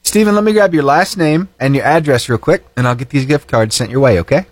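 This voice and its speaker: A man's voice: mid-range voice, a little fast, dry recording. Man, radio host, studio mic, slightly itchy throat, upbeat chatty talk. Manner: animated, fervent praying then chatty and upbeat. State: slightly itchy throat, voice lower than usual. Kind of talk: prayer and casual radio chat. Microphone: studio microphone.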